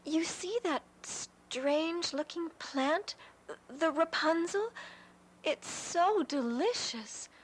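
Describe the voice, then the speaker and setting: A woman's voice: extremely high pitch, expressive, slow pace, a little hiss or hum, pitched above the performer's own voice, exaggerated voice, low-quality recording. A woman voicing a young wife full of longing.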